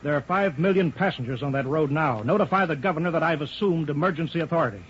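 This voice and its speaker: An actor in 1950s radio drama style playing a brisk, authoritative middle-aged chief engineer of futuristic roads. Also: male voice, fairly high pitch, a bit bright, a little hiss or hum, close to an everyday voice. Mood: urgent, commanding. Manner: authoritative, clipped, urgent orders. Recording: muffled vintage radio broadcast with background crowd.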